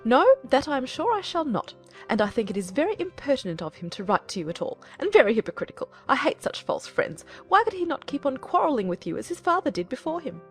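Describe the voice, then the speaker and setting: A woman's voice: sits high, sing-song, fast, hardly any pauses, breathy edge, faint music behind, full character voice. A woman playing a fretful, indignant middle-aged mother in a dramatized audiobook, querulous and melodramatic in her complaints.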